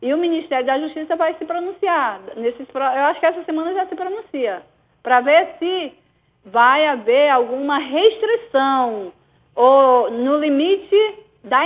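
Brazilian woman mayor on the phone, high voice, tinny line, keeps restarting and saying "é". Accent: Brazilian Portuguese. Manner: hesitant, many restarts and fillers. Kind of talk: phone interview answers.

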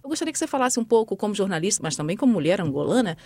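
A woman's voice: medium pitch, big pitch swings, rapid-fire, a little mellow, dry recording. A Brazilian woman with a Brazilian Portuguese accent interviewing a guest, asking long, chatty questions. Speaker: interviewer, host.

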